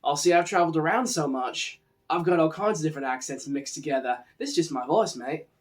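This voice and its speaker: A man's voice: fairly high pitch, brisk, lightly acted. A man voicing a roguish, well-travelled adventurer with a cheeky, matey Cockney accent for a tabletop game.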